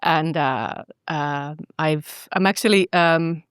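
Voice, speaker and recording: A middle-aged woman from southern Finland, speaking thoughtfully as a podcast guest, pausing and restarting her phrases. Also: low-pitched, clean tone, no room sound, clean studio sound. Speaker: podcast guest, cultural institute director. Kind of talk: reflective conversational talk.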